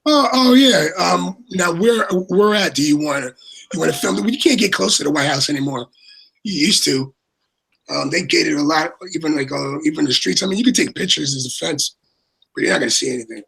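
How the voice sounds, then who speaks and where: Raspy-voiced man, rambling livestream chat. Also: a little high, expressive, brisk, fairly bright tone, poor audio. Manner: rambling, repeats words, many fillers. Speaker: co-host and moderator.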